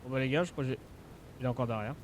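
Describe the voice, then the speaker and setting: A man's voice: medium pitch, rapid-fire, a little hiss or hum. Casual male gaming commentator. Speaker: gaming video creator.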